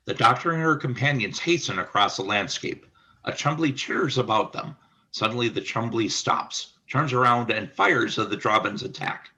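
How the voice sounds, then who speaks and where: A man's voice: mid-range voice, moderate pace, pushed and bright, rough recording. A man reading scripted stage-direction narration for a radio play.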